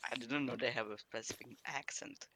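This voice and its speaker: A man's voice: mid-range voice, fairly even intonation, a little fast, noisy background. A man from Slovakia chatting and joking defensively while playing a game with friends. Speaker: guest player. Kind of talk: casual gaming chat.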